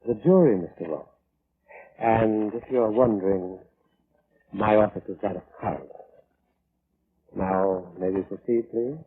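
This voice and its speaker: Ominously courteous coroner, vintage radio drama, formal, measured, low husky voice, tinny narrowband recording. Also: male voice. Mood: coolly polite. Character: unflappable, courteous, quietly ominous male coroner. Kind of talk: 1940s radio drama acting.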